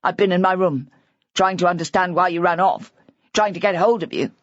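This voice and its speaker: A woman's voice: fairly low pitch, fairly quick, no echo, highs cut off, lightly acted. A woman voicing a distraught older husband whose words tumble out in a rambling, hysterical rush.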